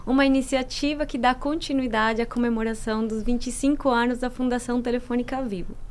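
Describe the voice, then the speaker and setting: Colombian woman moderating a panel. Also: fairly high pitch. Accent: Colombian Spanish. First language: Spanish.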